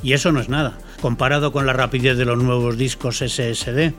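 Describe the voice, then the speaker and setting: A male radio commentator with a Spain accent gives scripted explanations in a didactic, conversational manner. His voice is mid-pitched, with faint music underneath. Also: level tone, fairly quick, fairly bright tone.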